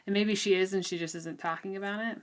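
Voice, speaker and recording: A woman's voice: medium pitch, steady tone, fast talker, smooth. A woman co-hosting a book discussion, chatting casually and informally with plenty of hedging and filler likes. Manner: informal, hedging, often says like. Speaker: co-host of a book discussion show.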